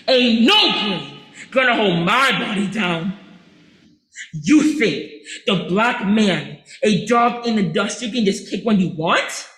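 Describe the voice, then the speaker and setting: Guy doing a fiery old preacher-ish man, booming, bright tense voice, slow and echoey. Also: full character voice. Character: fiery defiant older man raging as a lion. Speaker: student actor doing a monologue. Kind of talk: theatre audition monologue. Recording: echoey room.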